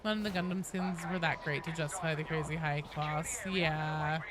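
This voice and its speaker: A woman's voice: low voice, fairly even intonation, moderate pace. A woman live streamer chatting casually and wryly with her viewers while she plays a game. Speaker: live streamer hosting gameplay.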